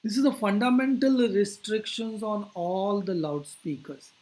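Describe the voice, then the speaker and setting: A physics teacher delivers an explanatory lecture at a middling pitch. The phrasing is deliberate and didactic, setting out each idea in steps and posing rhetorical questions along the way. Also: a little mellow, low-quality recording.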